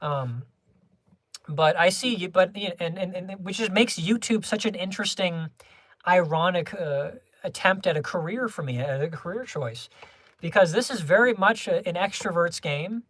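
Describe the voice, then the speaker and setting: A young adult livestreamer chatting casually with viewers, rambling through many restarts and small laughs in a low-key, homebody way. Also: expressive, moderate pace, a bit bright, no echo.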